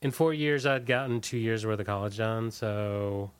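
A man's voice: fairly low pitch, little pitch movement, moderate pace, smooth. A middle-aged man talking casually as an interview guest, hesitant and meandering, often trailing off. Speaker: interview guest, musician and advertising copywriter.

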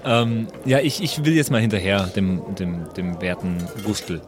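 A man's voice: lowish voice, expressive, measured pace, music in the background. A man playing an improvised tabletop role-playing game, chatting casually with somewhat hesitant, tentative phrasing.

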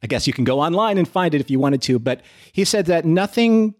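A man's voice: a little high, lively intonation, a little fast, no room sound, studio quality. A man speaking as a podcast guest, casual and conversational, in a thoughtful, motivational way.

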